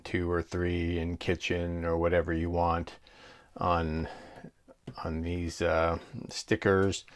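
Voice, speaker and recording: Low-pitched man demonstrating a product. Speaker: product reviewer.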